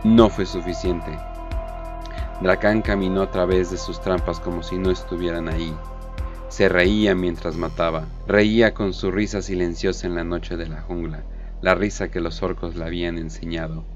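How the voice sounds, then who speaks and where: A man narrating a dramatic war story as a scripted audio drama, solemn and deliberate. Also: deep voice, steady tone, muffled recording, soft background music.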